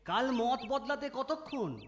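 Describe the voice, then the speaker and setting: A poor cartoon village father, with a high voice that swoops up and down. He speaks slowly and pleadingly, halting and repeating himself, in a very reverberant space. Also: animated. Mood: earnest, coaxing. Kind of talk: children's cartoon story dialogue. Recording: echoey long reverb, music behind.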